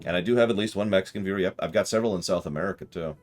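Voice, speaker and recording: A man's voice: deep voice, rapid-fire, darkish tone, faint music behind. A man streaming a game, chatting casually with viewers and rambling in a self-deprecating way. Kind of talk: casual live-stream chat while gaming.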